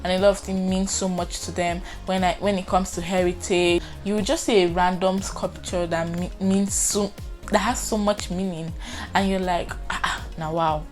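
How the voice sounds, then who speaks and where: A woman's voice: lowish voice, little pitch movement, moderate pace, soft background music. A young woman from Nigeria with a Nigerian accent, chatting expressively in a casual vlog and acting out her reactions. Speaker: vlogger.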